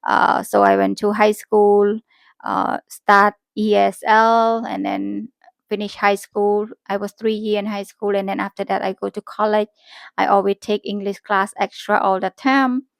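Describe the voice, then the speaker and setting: A woman with a Cambodian accent and a creaky edge to her voice talks casually to her vlog viewers, close and dry. She is a Khmer native speaker, and her phrasing is simple and halting as she corrects herself along the way. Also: medium pitch, level tone, no room sound. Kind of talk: casual rambling vlog chat.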